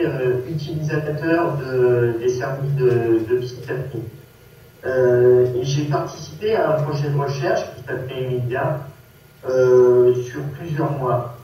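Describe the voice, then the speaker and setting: A man with a Parisian French accent introduces himself to a group in a hesitant, halting and apologetic way. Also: medium pitch, darkish tone. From Paris, France. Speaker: workshop speaker, peer research assistant. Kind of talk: personal testimony to a group. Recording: echoey, distant conference-call sound with noise.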